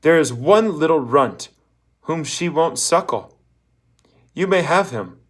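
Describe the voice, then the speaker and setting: A man's voice: a little high, lively intonation, takes it slowly, pitched above the performer's own voice. A man voicing a blunt old woman fortune-teller in a storybook, bossy and emphatic as she hands out scorn and instructions. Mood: instructing. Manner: emphatic, instructing, asks rhetorical questions.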